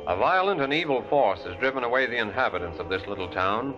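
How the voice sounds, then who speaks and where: A man's voice: medium pitch, expressive, fast. A man announcing in a dramatic old-time radio narration style, setting up a story. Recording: dull vintage radio recording with music.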